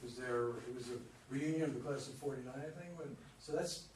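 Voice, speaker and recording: A man's voice: mid-range voice, a little fast, a little mellow. A man chatting casually in a group discussion after a play reading. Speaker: play reader giving feedback. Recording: very echoey room, distant mic, faint noise.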